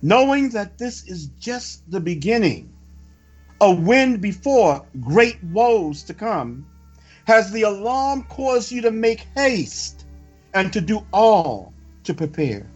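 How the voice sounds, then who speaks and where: A man preaches solemnly in a fairly high voice that rises and falls in lively sweeps. His delivery is ceremonial and declamatory, with each phrase set down deliberately, over faint music. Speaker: preacher reading prophecy. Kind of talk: solemn sermon with scripted reading. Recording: dull lo-fi audio.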